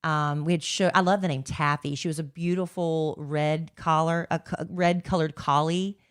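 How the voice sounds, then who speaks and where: Chatty woman with a low voice. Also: steady tone, moderate pace, smooth. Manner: chatty, rambling storyteller. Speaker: podcast co-host. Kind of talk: casual chat.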